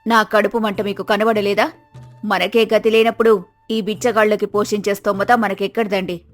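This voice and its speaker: A woman's voice: medium pitch, level tone, moderate pace, lightly acted. A woman playing a poor, weary village wife in a moral story drama, scolding her husband in an exasperated, complaining manner. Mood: angry, complaining. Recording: music behind the voice.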